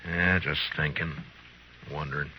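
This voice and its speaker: A man playing a stern, steady American frontier marshal in an old-time radio Western, speaking in a terse, commanding way. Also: very deep voice, measured pace, exaggerated voice. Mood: stern, calm. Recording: noisy vintage radio drama recording.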